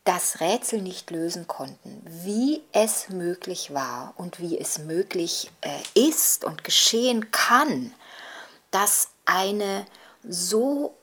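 Female interviewee, reflective and articulate, halting delivery, slow pace, breathy-rough tone. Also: on the low side, lively intonation, a bit bright. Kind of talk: reflective spoken commentary in a documentary.

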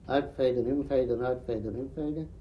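An older man, a radio drama veteran, reminiscing in an interview in emphatic, halting phrases. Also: mid-range voice. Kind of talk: spoken reminiscence. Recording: dull archive recording, faint noise.

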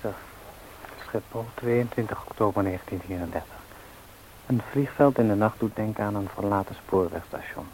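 A man acting a musing, measured reporter on night watch in a radio drama. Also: lowish voice, lightly acted. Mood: musing, calm. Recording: faint hiss on old radio recording.

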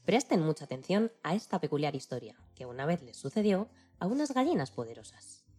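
A woman with a husky voice narrating a rhyming children's tale in a playful, declamatory, theatrical way. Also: sits low, very animated, fairly quick, mellow, faint music behind. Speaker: storyteller.

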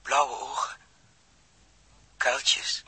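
An actor playing a gentle, resigned astronaut who is weak and gasping for air, speaking haltingly in a 1960s radio drama. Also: male voice, exaggerated voice. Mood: wistful. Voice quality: breathy, husky. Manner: halting, repeats words. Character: dying young male astronaut, gentle and resigned. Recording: old 1960s radio recording.

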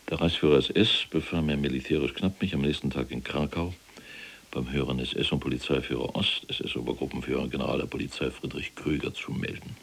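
Very deep gravelly proud SS general. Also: male voice, a little hiss or hum. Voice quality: gravelly, husky. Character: proud imprisoned former SS general, rigid, self-justifying. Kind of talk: German radio drama acting.

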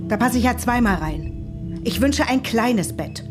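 Demanding female recluse, fussy, slow-spoken, radio play, music underneath. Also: a little high, animated. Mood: irritated, demanding. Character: fussy, demanding woman living alone underground. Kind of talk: German radio drama acting.

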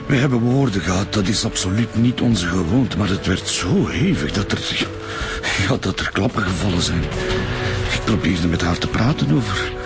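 A flustered husband in a Flemish radio drama. His low voice has a creaky, gravelly edge, and he hesitates and repeats himself anxiously in a Belgian Dutch accent, with music behind him. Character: flustered, anxious husband under police questioning.

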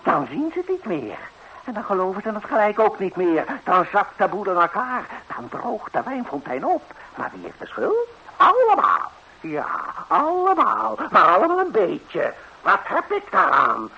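A man's voice: high-pitched, huge pitch swings, normal speed, vocal fry, bright, forceful tone, husky, heavily acted. A male actor playing a grumpy, cynical old devil in a radio fairy tale, grumbling and exclaiming with scorn. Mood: scornful. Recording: faintly noisy 1960s radio recording.

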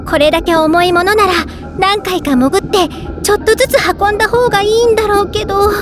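A woman playing a sweet, bubbly young newlywed mermaid, telling her own story in an expressive Japanese audio drama style. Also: very high voice, fairly quick. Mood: determined. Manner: cutesy, expressive first-person narration with exclamations. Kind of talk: Japanese audio drama monologue, game tie-in. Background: music.

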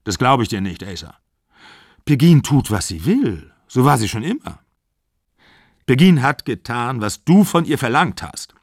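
A man's voice: medium pitch, sing-song, unhurried, no room sound, clean studio sound. A man voicing a furious, bitter aging actor of sixty-five who rants and accuses. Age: 60s. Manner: ranting, accusing, shouting.

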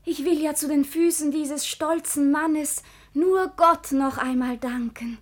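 An actress playing an ardent, devout young maiden in classical radio drama, speaking fervently and deliberately. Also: female voice, high-pitched. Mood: earnest.

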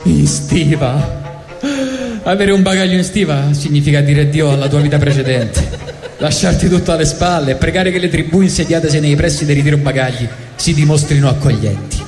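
A man's voice: on the high side, a little fast, a little mellow. A man with a Roman accent giving a mock-solemn, satirical live reading on stage. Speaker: storyteller reading a comic monologue on stage. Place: live stage benefit event. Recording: echoey hall, live stage, music behind.

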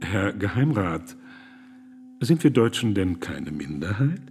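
A man's voice: lowish voice, sing-song, slow pace, a little mellow, exaggerated voice. An actor playing an earnest male pastor and humanitarian in a radio play, firm and principled. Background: music.

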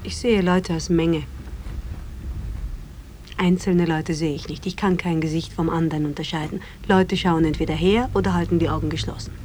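A woman's voice: deep voice, fairly even intonation, normal speed, darkish tone, a little hiss or hum, lightly acted. An actress in a radio drama playing a reserved, enigmatic woman who gives terse, formal answers. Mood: detached.

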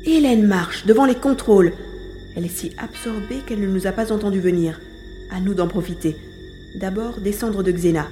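A woman's voice: medium pitch, moderate pace, mellow, soft background music, natural voice. A woman playing a plucky, irritable adolescent boy in a science-fiction audio drama. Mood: tense, urgent.

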